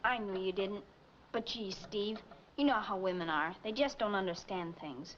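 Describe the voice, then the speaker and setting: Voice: mid-range voice, moderate pace, a bit bright, natural voice. A young performer playing an eager, friendly American kid helping at a diner in a 1940s film. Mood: sympathetic. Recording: muffled, noisy 1940s film soundtrack.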